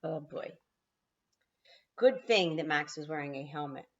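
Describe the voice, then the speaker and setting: A woman's voice: deep voice, a little slow. A woman kindergarten teacher reading a storybook aloud, with explanatory asides to young children, on slightly lo-fi audio.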